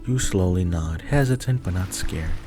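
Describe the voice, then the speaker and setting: Low-voiced male narrator in long reverb. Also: expressive, moderate pace. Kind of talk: scripted second-person story narration for ASMR roleplay. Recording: long reverb, faint music behind. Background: faint music.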